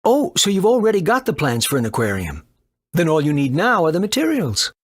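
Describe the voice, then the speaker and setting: An actor playing an eccentric, boastful doctor and inventor in a video game, brusque and quick to scold. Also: male voice, a little high, huge pitch swings, no echo, clean studio sound. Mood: brisk. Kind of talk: animated video game voice acting.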